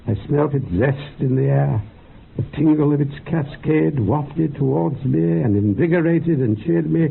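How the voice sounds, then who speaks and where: Radio drama: earnest male mystic, very creaky rasp, measured storytelling, narrow tinny band. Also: on the high side, exaggerated voice. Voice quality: raspy, creaky. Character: earnest man recounting mystical meditation visions. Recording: phone-like lo-fi radio sound.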